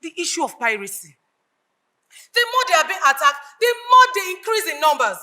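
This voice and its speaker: A woman's voice: extremely high pitch, very animated, a little fast, bright, forceful tone, heavily acted. An actress playing an indignant, earnest woman in a stage play, with a Nigerian accent and an emphatic, declamatory delivery. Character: indignant, earnest Nigerian woman lamenting her country's ills. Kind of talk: projected stage play acting.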